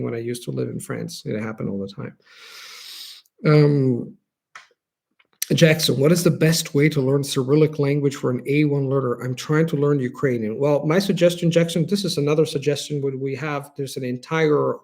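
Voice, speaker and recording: A man's voice: fairly high pitch, creaky, a little mellow. A man with an Italian accent, a native Italian speaker, hosting a live stream and answering viewer questions in an earnest, explanatory way. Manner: explanatory, earnest, restarts phrases while thinking.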